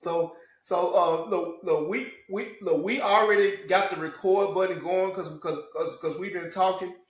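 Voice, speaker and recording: Higher-voiced man in an echoey room. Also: fairly even intonation, normal speed. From Memphis. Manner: repeats words and restarts often. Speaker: podcast host. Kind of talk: casual tech chat.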